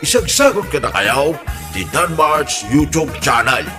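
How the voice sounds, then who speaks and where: A man's voice: fairly high pitch, sing-song, a little slow. A man announcing a comedy drama show in a playful, theatrical, sing-song way, drawing out his words. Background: prominent music.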